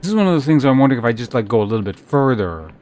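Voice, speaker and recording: A man chatting casually on a game livestream, thinking aloud in hesitant, trailing half-sentences with a gravelly fry to his voice. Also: medium pitch, sing-song, rapid-fire, a little mellow. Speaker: game streamer. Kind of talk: casual livestream gameplay chat. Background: faint game music.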